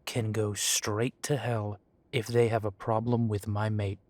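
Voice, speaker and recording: A man's voice: lowish voice, level tone, a little slow, darkish tone, no room sound, lightly acted. A man playing a sardonic half-breed werewolf. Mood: tense, bitter. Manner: dry, sarcastic, wry.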